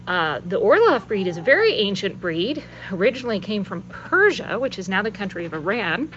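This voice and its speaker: A woman giving a gentle children's talk outdoors, explaining things in a clear, teacherly way. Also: medium pitch, sing-song, brisk, lo-fi sound, slight background noise. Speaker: children's story presenter at church. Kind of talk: children's lesson about her chickens. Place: outdoors with chickens in grass.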